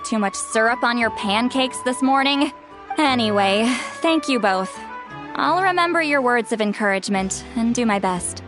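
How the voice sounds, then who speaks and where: A woman's voice: a little high, animated, moderate pace, lightly acted. A woman playing a dignified, self-assured young warrior leader in a video game, composed with a teasing streak. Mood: playful, assured. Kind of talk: video game character dialogue. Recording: music playing behind.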